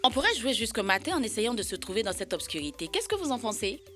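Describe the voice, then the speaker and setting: A woman's voice: fairly high pitch, big pitch swings, fast, pushed and bright, soft background music. A woman voicing a confident, talkative child in a children's cartoon, explaining things in a matter-of-fact way. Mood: doubtful. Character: confident, talkative child playing hide-and-seek with friends. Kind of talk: children's educational cartoon acting.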